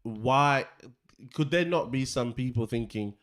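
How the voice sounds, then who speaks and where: A man co-hosting a casual faith discussion, probing with questions and halting restarts. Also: medium pitch, fairly bright tone, clear, resonant tone. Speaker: podcast co-host.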